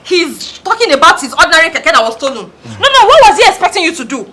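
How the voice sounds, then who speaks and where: Actress as a desperate daughter, very high and piercing, shouting and crying. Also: female voice, very animated, fast talker, a little hiss or hum, exaggerated voice. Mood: indignant. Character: frantic young woman, desperate and loud. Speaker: daughter searching for her mother in a hospital.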